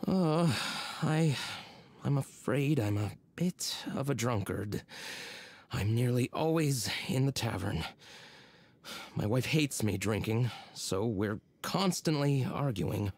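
A man's voice: medium pitch, lively intonation, slow, vocal fry, darkish tone, dry recording, exaggerated voice. A man voicing a sheepish, hesitant drunkard husband in a video game, full of uhs.

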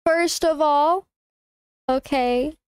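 A woman's voice: high voice, drawn-out words, a little mellow, dry recording, studio quality. A young woman streaming, chatting casually and greeting viewers one by one, her voice stuffy and congested from a blocked nose, tripping over her words now and then.

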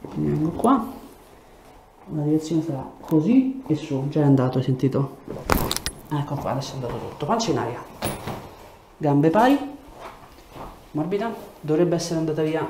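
Male chiropractor, 30s. Mellow tone, instructing a patient in a reverberant treatment room. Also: a little high, animated, normal speed, pauses often. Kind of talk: hands-on clinical talk to a patient, giving instructions and explanations. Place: small treatment room, indoors. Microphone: lapel mic. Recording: echoey room with background noise.

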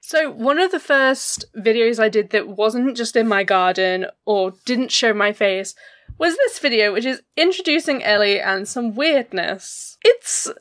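A woman's voice: a little high, a bit bright, no echo. A young adult woman vlogger giving casual, self-mocking reaction commentary from her bedroom, laughing often. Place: bedroom, indoors.

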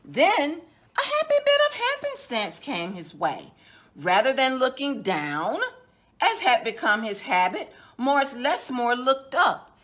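Slow, higher-voiced woman reading a storybook. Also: big pitch swings, a bit bright. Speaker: children's librarian and storytime host. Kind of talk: storybook read-aloud for children. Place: indoors, small decorated room.

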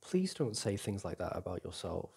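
A man playing a doting boyfriend in an intimate ASMR roleplay, speaking softly and breathily in a tender, reassuring manner. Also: sits low, big pitch swings, moderate pace, creaky, husky, exaggerated voice. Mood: gentle reassurance. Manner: tender, reassuring, with sighs and soft chuckles. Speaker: audio roleplay performer.

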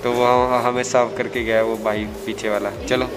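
Man, chatty and teasing, phone-filmed food vlog in a restaurant, mid-pitched voice, music playing behind. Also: level tone, fairly quick. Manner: chatty, teasing, mixes a little English. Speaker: food vlogger. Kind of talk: casual food vlog chat. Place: small restaurant, indoors.

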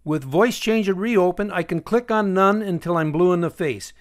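A man narrating an instructional software screencast as its host, explaining step by step in a measured way. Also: sits high.

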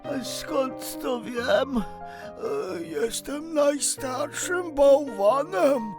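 A woman voicing a three-hundred-year-old melting snowman in a children's story, halting and pleading with drawn-out words. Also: high-pitched, expressive, over music, full character voice. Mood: doddering, urging.

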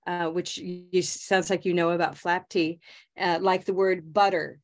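A middle-aged woman teaching English pronunciation on a video call, modeling words slowly and prompting learners. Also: lowish voice, little pitch movement. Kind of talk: slow, clear pronunciation coaching. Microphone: webcam on a video call.

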